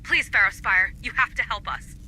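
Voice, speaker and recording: A woman's voice: mid-range voice, normal speed, sharp tone, a little hiss or hum, low-quality recording. A woman playing an urgent, desperate colony administrator in a video game, pleading formally over a radio transmission. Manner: pleading, formal call sign delivery. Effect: radio distress transmission. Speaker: administrative director. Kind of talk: video game distress call acting.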